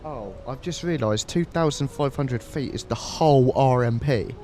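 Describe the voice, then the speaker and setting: A man's voice: mid-range voice, moderate pace, dark tone, slight background noise. Man with a blocked-up nose. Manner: chatty, friendly, thanks viewers by name. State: stuffy nose from a cold. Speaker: flight simulator livestreamer. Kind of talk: casual livestream chat while flying.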